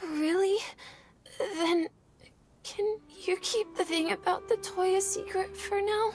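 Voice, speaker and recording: A woman's voice: extremely high pitch, fairly even intonation, takes it slowly, darkish tone. A woman voicing a timid, innocent little boy in a video game, weak and woozy with fever. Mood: worried. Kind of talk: English game voice acting.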